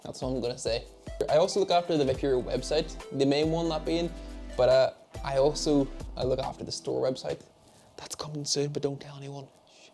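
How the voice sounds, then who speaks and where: A man's voice: mid-range voice, steady tone, fairly quick, darkish tone, faint music behind. A nineteen-year-old man with a Northern Irish accent, chatting casually to camera in a Q and A about his media job, with rambling, self-mocking asides. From Derry, Northern Ireland. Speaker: esports head of media. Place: home office setup.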